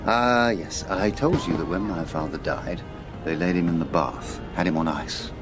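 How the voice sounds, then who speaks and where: An actor playing a drunken middle-aged spy in a radio drama, talking loudly and rambling as he confides. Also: deep voice, moderate pace, over music, natural voice. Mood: rambling confession. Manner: loud, swooping, rambling drunken talk.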